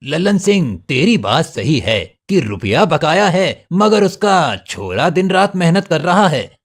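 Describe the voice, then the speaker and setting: A man voicing a fair-minded village headman in a cartoon story, measured and reasoning. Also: on the high side, sing-song, full character voice. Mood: persuasive. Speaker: voice actor.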